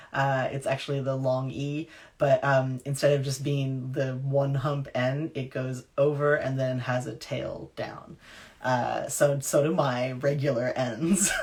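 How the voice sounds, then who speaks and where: Brief: author in her thirties, low gravelly voice, hushed storybook reading then loose live chat at a home webcam. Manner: hushed, whispery reading; chatty with fillers in talk. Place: dim room at home.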